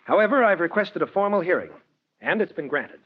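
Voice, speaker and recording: Husky, earnest lawyer. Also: male voice, high-pitched, very animated, a little fast, full character voice. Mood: measured. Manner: pressing, sincere. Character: earnest, persuasive male lawyer, loyal friend. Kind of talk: 1940s radio drama acting. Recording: dull vintage 1940s radio recording.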